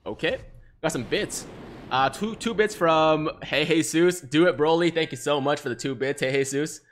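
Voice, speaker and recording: Excitable young man streaming live. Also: fairly high pitch, moderate pace, a little hiss or hum. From China. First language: Mandarin. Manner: excitable, hyped, chatty, reading chat aloud. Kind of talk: live-streamed gaming commentary. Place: small room, seated in gaming chair. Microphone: headset.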